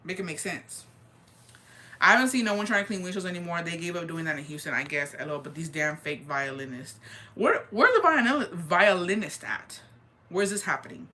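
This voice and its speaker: A deep-voiced woman from Texas chatting casually and gossiping on a livestream, slangy and loose, dropping in Spanish phrases. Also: animated, fairly quick, bright, forceful tone. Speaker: livestreamer reading viewer comments.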